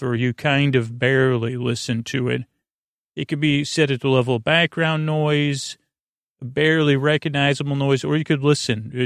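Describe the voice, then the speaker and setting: A man hosting a bedtime podcast in a creaky, lulling voice, halting and stammering through meandering, goofy tangents. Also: mid-range voice, no echo, clean studio sound. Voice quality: creaky, drawling. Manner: lulling, soothing. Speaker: host of a bedtime sleep podcast. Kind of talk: rambling, meandering bedtime monologue.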